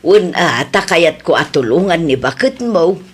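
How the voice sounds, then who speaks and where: A woman's voice: lowish voice, big pitch swings, measured pace, slight background noise. A woman playing a kindly, forgiving older mother-in-law in a radio comedy drama, with an Ilocano Filipino accent.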